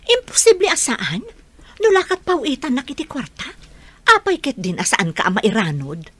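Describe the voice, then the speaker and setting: Woman acting a husky, scheming, greedy mother. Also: sits high, very animated, normal speed, a bit bright, dry recording, full character voice. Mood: conniving. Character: scheming, greedy, pushy middle-aged mother. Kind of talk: audio drama acting.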